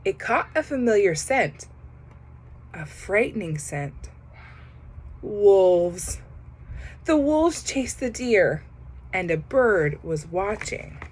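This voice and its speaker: A woman reading a children's picture book aloud, pausing to comment on the pictures. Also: mid-range voice, sing-song, a little hiss or hum. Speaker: storybook reader.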